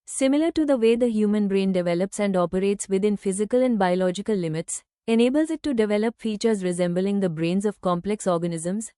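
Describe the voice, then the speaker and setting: A woman reads scripted academic passages in a formal, careful way. Her voice is very smooth and mellow, at a middling pitch. The recording is close and dry, without room sound. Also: dry recording. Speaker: exam practice reader. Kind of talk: scripted read-aloud of short academic passages.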